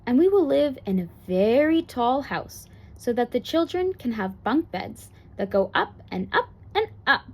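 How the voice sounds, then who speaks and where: A woman's voice: a little high, big pitch swings, a little slow, few pauses, full character voice. Eager young granddaughter played by a woman reader for a picture book, earnest and imaginative, lifted voice.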